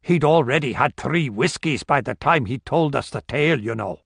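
A man's voice: medium pitch, animated, moderate pace, gravelly, a bit bright, slightly rough, no room sound, studio quality, full character voice. A man voicing a friendly middle-aged barkeep, deferential and spinning a tale. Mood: telling a tale. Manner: deferential, storytelling.

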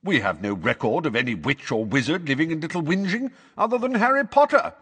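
Guy voicing a bossy sharp lady judge in an audiobook, impatient, high husky voice. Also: big pitch swings, fairly quick, big-room sound, heavily acted. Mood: brisk. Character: brisk, sharp, impatient witch on a court bench.

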